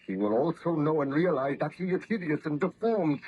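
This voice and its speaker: A tormented baron of science in a vintage radio horror play. His high-set male voice is intense and declamatory, full of horror at his own creation. The recording is dull and lo-fi, with a husky edge to the voice. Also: expressive, a little fast. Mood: anxious. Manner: declamatory, intense formal speech. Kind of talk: 1930s radio horror acting.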